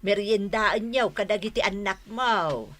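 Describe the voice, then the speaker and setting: A woman's voice: mid-range voice, a little slow, fairly bright tone, natural voice. A woman acting a friendly, chatty older food vendor in a comic radio drama, with an Ilocano Filipino accent. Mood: coaxing.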